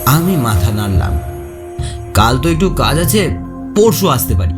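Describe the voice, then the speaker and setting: A man narrating a first-person horror story in an expressive, suspenseful, dramatic way. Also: medium pitch, measured pace. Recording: echoey long reverb, faint music behind. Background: faint music.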